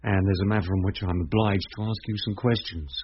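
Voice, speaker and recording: An actor playing a formal, persistent police inspector in a radio drama, a British man with an official, measured manner. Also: lowish voice, steady tone, muffled recording, slight background noise, natural voice. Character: firm, formal male police detective inspector.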